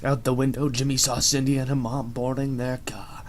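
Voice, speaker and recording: A man's voice: medium pitch, level tone, moderate pace, slight background noise. A man giving a dramatic scripted reading of a story as its narrator. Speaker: narrator of a fan fiction reading.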